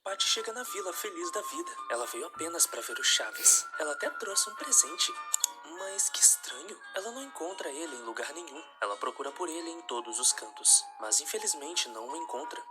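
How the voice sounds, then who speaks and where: A man reading a scripted narration of a dark story. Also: fairly high pitch, very animated, fairly quick, loud music behind the voice.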